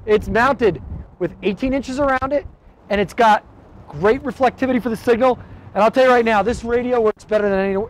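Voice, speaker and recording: A man's voice: high-pitched, poor audio. A man in his thirties giving a casual, practical how-to talk about radio gear, first in a garage and then outdoors on a windy lot. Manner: direct, practical, frequent "okay?" check-ins. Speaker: shop owner explaining radio gear.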